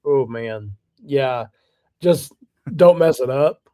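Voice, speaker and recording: A male guest with a warm, relaxed voice at an average pitch. He chats casually in an interview, easygoing and modest. The recording is dry, with no room sound. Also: slow, no room sound. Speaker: guest, stadium PA announcer.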